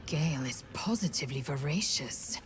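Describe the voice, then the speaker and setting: A woman's voice: extremely low pitch, lively intonation, moderate pace, slight background noise. A woman playing a stern, imperious female warrior in a fantasy video game, blunt and commanding. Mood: stern, scornful.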